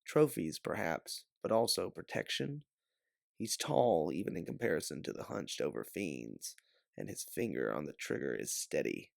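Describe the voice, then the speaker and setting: Male audiobook narrator, low gravelly voice, dramatic sci-fi storytelling, emphatic stresses. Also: big pitch swings, normal speed, no room sound, studio quality.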